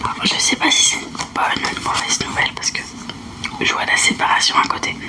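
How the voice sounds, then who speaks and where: Female ASMR roleplayer as a clairvoyant: breathy whisper, blunt, repetitive delivery. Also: fairly quick. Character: unhinged clairvoyant woman taking phone consultations. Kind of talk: soft-spoken ASMR fortune-teller roleplay.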